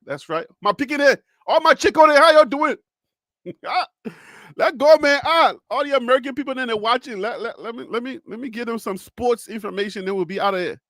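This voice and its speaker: A man's voice: very high voice, a little fast, fairly bright tone, no echo, clean studio sound. A man with a Liberian accent hosting a solo sports livestream, joking and repeating himself as he talks to his chat. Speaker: sports show host.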